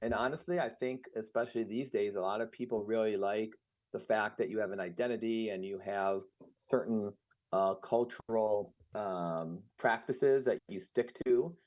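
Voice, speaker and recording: A man's voice: lowish voice, normal speed, darkish tone. A middle-aged man raised in the United States, talking casually and thoughtfully with frequent fillers. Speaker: guest. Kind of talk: casual conversation. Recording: muffled lo-fi sound.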